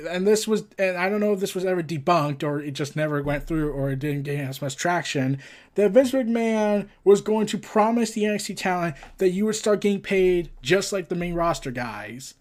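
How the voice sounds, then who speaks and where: A man with a high-pitched voice hosts a casual news show. He moves between reading out reports and giving his own opinions. His manner is blunt and exasperated, in the loose style of an online commentator. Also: a little mellow. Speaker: host of a pop culture and wrestling news show.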